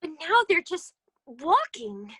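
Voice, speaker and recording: A woman playing a fretful, excitable, dramatic talking flower in a children's readers' theater performance. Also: very high voice, slow, dry recording, exaggerated voice. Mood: bright. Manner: fluttery, dramatic.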